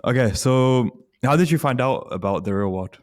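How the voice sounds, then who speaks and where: A man's voice: medium pitch, moderate pace. A man hosting a casual interview, asking questions in a conversational way.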